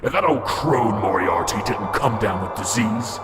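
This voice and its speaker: An actor playing a cruel, taunting male voice inside a man's head, mocking in a slow hiss and breaking into shouts, recorded with echo and loud music. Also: on the low side, animated, lightly acted. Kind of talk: amateur radio drama.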